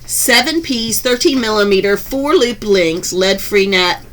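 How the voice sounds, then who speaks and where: A woman at a mid pitch talks casually through an unboxing in her home craft room, gushing and restarting her sentences. Her voice is slightly hoarse, and a faint hiss sits under the recording. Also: animated, unhurried, fairly bright tone. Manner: chatty, gushing, with frequent ums and restarts.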